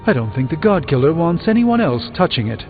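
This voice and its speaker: An actor playing a learned, dry-witted sorcerer superhero in a video game, speaking in a measured, articulate way. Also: male voice, fairly high pitch, very animated. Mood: pensive, grave. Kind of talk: video game dialogue acting. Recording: muffled thin band, reverberant, music behind.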